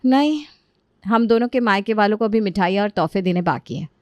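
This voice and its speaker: A gentle, trusting young married woman voiced by a female narrator in an audio story. She speaks mildly, at a middle pitch, in a dry recording. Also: expressive, fairly quick, dry recording, close to an everyday voice. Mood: placating. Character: trusting, naive elder daughter-in-law, young woman.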